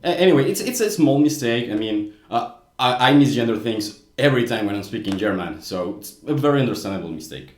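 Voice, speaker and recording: A man's voice: mid-range voice, lively intonation, fairly quick, reverberant. A man from Mexico whose first language is Spanish, speaking English with a Mexican accent and explaining grammar earnestly in a casual commentary, with frequent small restarts.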